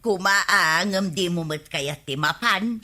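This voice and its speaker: A woman's voice: fairly low pitch, slow, sharp tone, heavily acted. A woman with an Ilocano accent playing a chatty, pushy, gossipy village wife in a radio comedy drama. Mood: coaxing.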